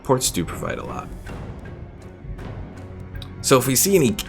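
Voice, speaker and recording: A man's voice: mid-range voice, huge pitch swings, a little slow, gravelly. A man livestreaming a strategy game, casually thinking aloud and chatting with his viewers as he plays. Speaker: livestreamer, host of a gaming stream. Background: game music.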